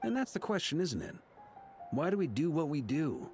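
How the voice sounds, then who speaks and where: A man's voice: a little high, sing-song, moderate pace, over music. A man playing an easygoing, jovial knight grandmaster in a video game, with a breezy, joking, theatrical manner. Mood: earnest. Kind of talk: scripted video game voice acting.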